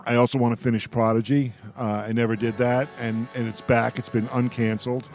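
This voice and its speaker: A man hosting a radio roundtable, talking in a casual, rambling fan-discussion style and often halting to repeat his words. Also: medium pitch, flat delivery, a little mellow, faint music behind.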